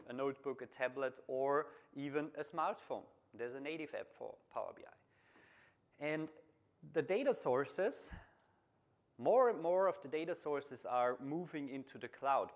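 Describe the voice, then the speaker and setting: A middle-aged man from Austria with an Austrian German accent, German being his first language, giving a technical conference talk in a large room with steady, repetitive explaining. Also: medium pitch. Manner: plain, steady explaining with repeated phrasing and some restarts. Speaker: conference speaker, BI consultant.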